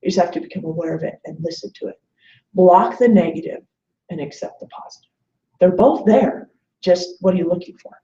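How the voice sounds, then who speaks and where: A woman's voice: fairly low pitch, brisk, mellow. A woman in her late forties speaking as a webinar guest in a conversational, motivational coaching style, earnestly illustrating her points with quoted remarks. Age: late 40s. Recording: muffled, echoey webinar audio.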